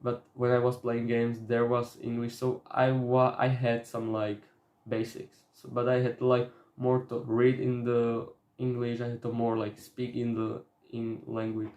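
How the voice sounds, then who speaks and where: A young Slovak man vlogs in accented English, hesitant and searching for words. His delivery stays flat and level. The sound is roomy and echoing. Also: mid-range voice, highs cut off. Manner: halting, many fillers and restarts. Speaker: vlogger. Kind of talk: casual talk to camera. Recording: echoey room.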